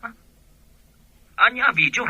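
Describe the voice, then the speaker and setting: A man with an Ilocano accent playing an excitable, disbelieving buddy on a phone call in a radio comedy drama, through a telephone filter. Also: moderate pace. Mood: surprised.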